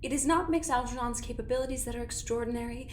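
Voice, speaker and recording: A woman voicing a cold, scheming female doctor who talks in a measured, clinical way with a quiet menace. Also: fairly high pitch, echoey room, a little hiss or hum, natural voice. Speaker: doctor running an investigation. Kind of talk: audio drama acting.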